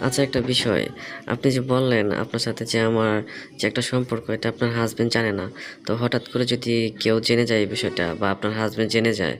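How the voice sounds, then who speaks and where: A man's voice: medium pitch, steady tone, fast. A man in a casual phone conversation, asking probing questions and explaining himself at length. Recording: phone call recording with faint noise.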